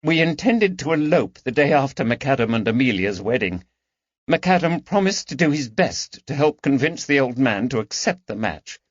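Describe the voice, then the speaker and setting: Male narrator as young male swindler, pleading, defensive, raised swinging pitch, audiobook mystery, dull audio. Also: sing-song, moderate pace, no echo. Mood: pleading, aggrieved. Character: frightened, resentful young male swindler under arrest. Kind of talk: audiobook character dialogue.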